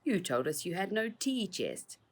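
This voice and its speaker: A woman's voice: medium pitch, sing-song, a little slow, voice pushed up. A woman reading a storybook, voicing a sneering, aggressive crooked policeman who snarls menacingly. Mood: sneering menace.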